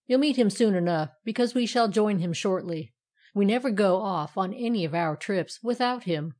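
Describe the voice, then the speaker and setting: Woman as a lively, teasing fireside iron creature. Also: mid-range voice, normal speed, lightly acted. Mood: confiding. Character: lively, teasing living andiron creature with paws, male.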